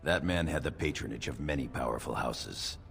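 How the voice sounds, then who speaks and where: An actor playing a gruff, loyal dwarf attendant in a fantasy video game, dry and dismissive in manner. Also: male voice, very deep voice, brisk, a bit bright, faint music behind. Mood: dry, scornful. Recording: reverberant hall with noisy ambience.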